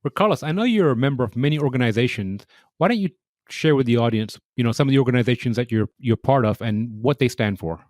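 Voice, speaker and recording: A man hosting an interview podcast, polite and well prepared. His voice sits at an ordinary pitch. The recording is dry and close, with no room sound. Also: expressive, quick pace, a little mellow, no room sound. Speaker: podcast host.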